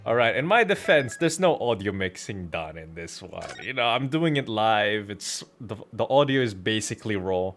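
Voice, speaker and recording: Animated male streamer, joking and slangy. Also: lowish voice, moderate pace, few pauses, faint music behind. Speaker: streamer and amateur voice actor. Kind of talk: casual live game commentary.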